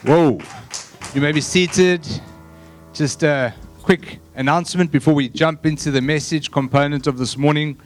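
A man's voice: on the high side, fairly even intonation, moderate pace, faint music behind. A man who pastors the church, giving announcements to the congregation and leading a short prayer in a friendly, informal manner. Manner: friendly and easygoing, then earnest in prayer. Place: church stage.

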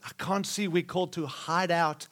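A man's voice: high voice, steady tone, normal speed, a bit bright. A middle-aged man, preaching to a live church with repeated, rousing phrases and jokes for the congregation. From Australia. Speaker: guest preacher.

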